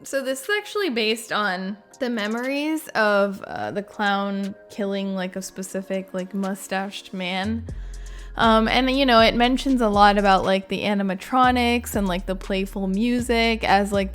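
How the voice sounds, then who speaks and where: A woman giving casual, chatty gaming reaction commentary in an informal, tentative way, leaning on filler words. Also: mid-range voice. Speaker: gaming video creator, host. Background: background music.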